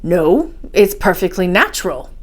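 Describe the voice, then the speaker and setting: A woman's voice: fairly low pitch, big pitch swings, a little slow. Woman reading as a confident, lecturing older male professor. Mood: assured. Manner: didactic, assured, declarative.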